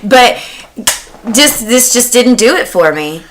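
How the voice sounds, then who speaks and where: A young woman in a casual podcast chat about movies, speaking in a blunt, animated way and slipping into sarcastic imitations. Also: fairly high pitch, takes it slowly. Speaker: horror podcast panelist. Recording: noticeable background noise.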